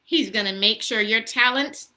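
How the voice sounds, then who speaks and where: A woman's voice: on the high side, normal speed, pushed and bright. A woman in her thirties chatting to children as a story-time host and pastor, explaining simply and asking questions. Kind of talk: casual, child-directed teaching chat. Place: small room at home. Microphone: laptop or phone at arm's length.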